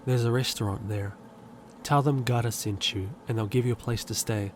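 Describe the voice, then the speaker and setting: A man's voice: mid-range voice, fairly even intonation, moderate pace, a little hiss or hum, close to an everyday voice. A man playing a confident, self-centered handyman in an audio roleplay, teasing and cocky. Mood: businesslike. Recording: music bed underneath.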